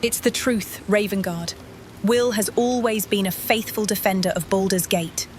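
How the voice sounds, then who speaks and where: A woman's voice: medium pitch, moderate pace, a little hiss or hum, natural voice. A woman playing a loyal adventurer companion in a fantasy game, direct and earnest. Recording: music behind voice.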